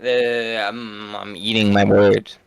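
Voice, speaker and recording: A man's voice: lowish voice, monotone, slow, vocal fry, crowd noise. A man chatting casually while streaming a video game.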